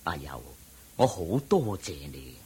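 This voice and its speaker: Husky, slow-spoken ascetic monk. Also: male voice, deep voice, very animated, mellow, heavily acted. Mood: gentle, firm. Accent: Hong Kong Cantonese. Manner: measured, deliberate, didactic. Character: solemn, humble ascetic Buddhist monk, patient teacher. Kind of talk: Cantonese radio drama acting.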